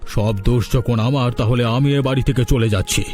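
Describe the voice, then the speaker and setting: Guy doing bossy stubborn elder brother in a village cartoon, dramatic, mellow voice, bit of music behind. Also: medium pitch, fairly even intonation, moderate pace, lightly acted. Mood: indignant. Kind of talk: Bengali cartoon story voice acting.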